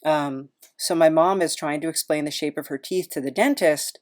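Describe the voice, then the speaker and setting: A woman's voice: low-pitched, moderate pace, darkish tone. A woman podcast host chatting in a casual, rambling, self-deprecating way.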